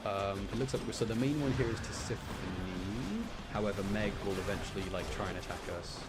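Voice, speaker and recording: Guy with a lowish voice chatting through his game stream, explains stuff, game music loud behind him. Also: expressive, a little fast. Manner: chatty, explaining gameplay, repeating phrases. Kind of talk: casual live gaming commentary. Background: video game music.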